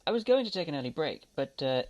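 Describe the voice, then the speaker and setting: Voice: mid-range voice, very animated, fast, darkish tone, dull audio, a little hiss or hum, close to an everyday voice. A performer playing a breezy, callous, chatty male doctor in an amateur audio drama.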